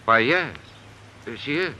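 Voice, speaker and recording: Mild professor, animated and bright. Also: male voice, mid-range voice, measured pace. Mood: breezy, then evasive. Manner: polite, hesitant, clipped replies. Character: mild, married male professor, evasive and uneasy. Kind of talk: 1950s radio drama acting. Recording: noisy vintage radio recording.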